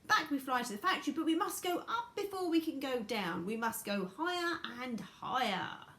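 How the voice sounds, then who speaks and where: A woman voicing a brisk, supremely confident, eccentric gentleman inventor from a storybook, with an emphatic, declamatory manner. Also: high-pitched, expressive, reverberant, voice pushed up, exaggerated voice. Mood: excited, commanding.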